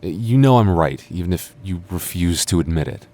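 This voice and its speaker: Male actor as sarcastic childhood friend, young adult, low-pitched voice, stubborn teasing, natural boyfriend-roleplay delivery. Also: lively intonation, normal speed, mellow. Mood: irritated bickering. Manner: teasing, sarcastic, stammers when flustered.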